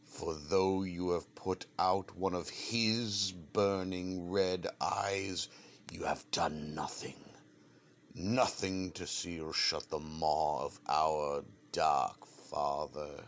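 Mad prophet: fanatical, portentous, very slow and low, whispery menace, faint ambient noise. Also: male voice, fairly even intonation. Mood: ominous, vengeful. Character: fanatical, ominous mad prophet of a dark god. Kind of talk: solemn audio drama monologue.

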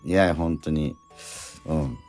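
A man hosting a radio show in casual talk, speaking in a halting, heartfelt way and repeating words for emphasis. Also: extremely low pitch, darkish tone. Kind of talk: casual radio talk responding to listener mail. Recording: radio talk over background music.

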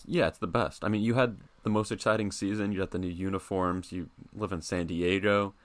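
A man's voice: sits low, fairly even intonation, brisk. A young man co-hosting a casual sports podcast, easygoing and self-deprecating. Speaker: podcast co-host.

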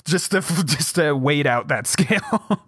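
A young man with a slightly high voice tells a story casually on a podcast. He jumps into high pitch for quoted lines and swears freely. The sound is dry and close. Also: expressive, unhurried, a bit bright, breathy edge, no echo. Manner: swears freely, many fillers. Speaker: podcast co-host.

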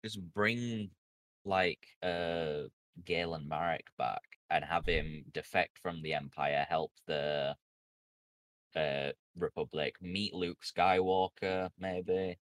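A man's voice: low-pitched, slow, no room sound. A man co-hosting a podcast, chatting casually and ranting with lots of swearing. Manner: animated, swearing rants. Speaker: podcast co-host. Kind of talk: casual chat.

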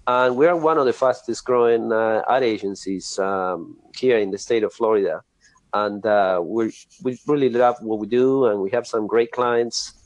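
A middle-aged man from Argentina with an Argentine Spanish accent, who speaks English as a second language and gives steady, earnest interview answers with frequent pauses. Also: on the low side, no echo, rough recording. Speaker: guest, ad agency president.

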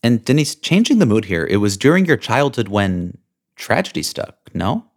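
A man's voice: mid-range voice, big pitch swings, moderate pace. A man hosting an interview podcast, enthusiastic and building drama as he introduces his guest. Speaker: podcast host.

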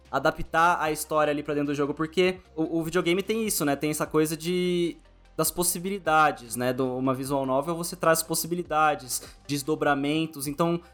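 A Brazilian man hosting a casual podcast interview, talking informally and rephrasing his questions as he goes. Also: a little high. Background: background music.

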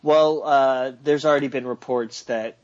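A man on a somewhat muffled, lo-fi line, joking through a sports chat and trading wild predictions. He restarts his sentences often, at an everyday mid pitch. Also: fairly even intonation, a little slow. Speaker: podcast co-host.

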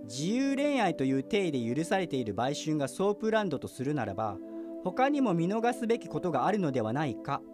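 An earnest junior policeman in a radio drama, with a high, very lively voice. He explains things formally and politely but is easily exasperated into blunt speech. Music plays behind him. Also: fairly quick. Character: earnest young male police officer, exasperated junior to senior detective. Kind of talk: Japanese radio drama dialogue.

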